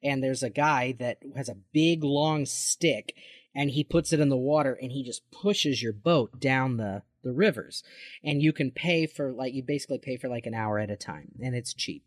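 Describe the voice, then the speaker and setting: An American man in his forties chatting casually as a podcast co-host, in an excitable, jokey, sweary way. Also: medium pitch, moderate pace, no echo, studio quality. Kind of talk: casual chat.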